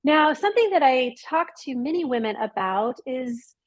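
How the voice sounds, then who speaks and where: A woman's voice: high voice, expressive, normal speed. A woman hosting an interview show on a call, welcoming her guest enthusiastically and affirming her points. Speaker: podcast host.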